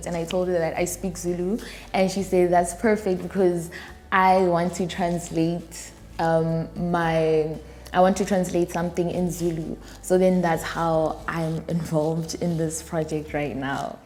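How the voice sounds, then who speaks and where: A young adult woman from South Africa, a science student, speaks casually about her studies. Her voice is lowish and mellow, and she keeps checking in with "right?" as she goes. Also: flat delivery, measured pace, faint music behind. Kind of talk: casual interview.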